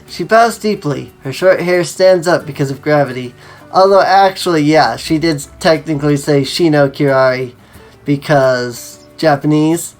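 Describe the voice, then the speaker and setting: A woman with a fairly low voice reads a story aloud. She slips into conversational asides as she goes, with soft music playing behind her. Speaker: host reading a visual novel aloud. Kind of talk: reading a story aloud with casual commentary.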